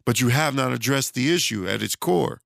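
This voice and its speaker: A man's voice: mid-range voice, animated, a little fast, gravelly, a bit bright, no echo. A man, self-employed with a studio, talking in a loose, rambling casual monologue, and losing his voice. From United States. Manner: loose, rambling, conversational, with many restarts and fillers.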